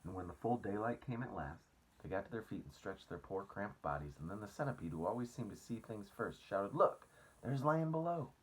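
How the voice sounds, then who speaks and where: A man with a deep voice reads a children's story aloud. His tone is husky, and his delivery is that of an expressive storyteller. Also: fairly quick, rough recording.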